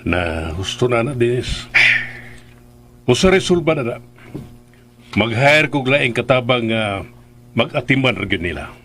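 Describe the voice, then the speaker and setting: Placating father, middle-aged, Bisaya accent, animated intonation, radio drama, reasoning. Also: medium pitch, unhurried, pauses often, a little hiss or hum. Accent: Filipino, Bisaya. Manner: soothing, reasoning.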